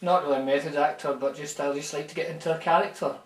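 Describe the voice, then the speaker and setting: A man with a Scottish accent, calling in and posing as a famous fighter, mock-serious and deadpan in a comic phone-in bit. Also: fairly high pitch, fast, echoey room, close to an everyday voice. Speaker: caller.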